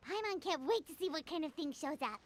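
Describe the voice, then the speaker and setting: A woman's voice: high voice, lively intonation, a little fast, very noisy. A woman voicing a tiny, chatty female companion creature in a video game, who talks about herself by name. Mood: eager.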